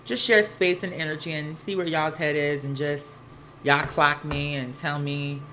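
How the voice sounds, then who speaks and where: Bright, sassy American livestream host. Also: medium pitch, little pitch movement, normal speed. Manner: sassy, campy, slang-heavy. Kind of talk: casual livestream chat. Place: at home, laundry running nearby. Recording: some household noise behind the voice. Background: laundry noise.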